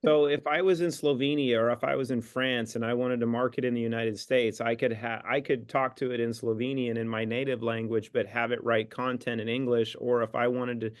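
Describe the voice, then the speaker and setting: A man's voice: mid-range voice, level tone, a little fast, hardly any pauses, clean tone. A man on a video call asking a long, rambling question, thinking aloud as he goes. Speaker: company executive. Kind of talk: live webinar question.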